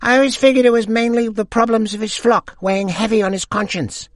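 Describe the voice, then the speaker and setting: A man voicing a confiding older woman in a high falsetto. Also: fairly even intonation, fairly quick, dry recording, studio quality, close to an everyday voice. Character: middle-aged gossiping woman, soft and knowing.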